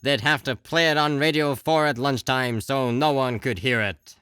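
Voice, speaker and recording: An actor playing a slick, promotional announcer in a parody advert within a comedy radio play. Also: male voice, medium pitch, moderate pace, a bit bright, clean tone, no room sound, studio quality, lightly acted. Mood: slick hype. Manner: punchy, promotional. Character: slick male advert announcer, cheerfully damning.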